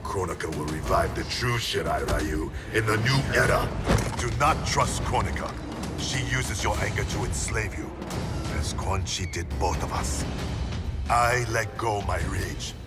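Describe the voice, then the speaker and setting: An actor playing a vengeful, grave ninja clan master in a video game, speaking formally and solemnly. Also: male voice, on the low side, unhurried, close to an everyday voice. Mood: grave, intense. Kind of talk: dramatic video game cutscene acting. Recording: loud music behind the voice.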